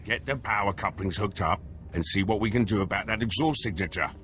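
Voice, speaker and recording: Low, forceful, curt male ship officer acted. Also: fairly quick, slight background noise, natural voice. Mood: businesslike. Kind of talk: cinematic video game acting.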